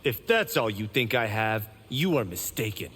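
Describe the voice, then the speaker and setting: A man's voice: on the low side, very animated, moderate pace, heavy background noise. An actor playing a proud, boastful young male martial arts champion in a video game, with a smug, self-assured, grand delivery. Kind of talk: video game character dialogue.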